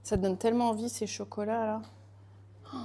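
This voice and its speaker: A woman's voice: a little high, fairly even intonation, normal speed, dark tone. A woman raised in France, chatting casually with live viewers while she bakes, greeting them by name and laughing often.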